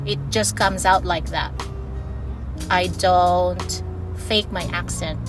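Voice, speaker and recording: A woman's voice: lowish voice, fairly even intonation, slow pace. A woman from the Philippines, speaking English with a Filipino accent touched with Australian, talks casually in a vlog, laughing often and drawing out her words. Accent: Filipino, with some Australian. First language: Ilonggo. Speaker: vlogger. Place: home in Australia. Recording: music playing behind.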